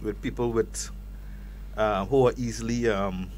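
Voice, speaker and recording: A man with a strong Trinidadian accent, a guest expert on a talk show, explaining in halting speech with many repeated words. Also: fairly low pitch, fairly even intonation, a little mellow, a little hiss or hum.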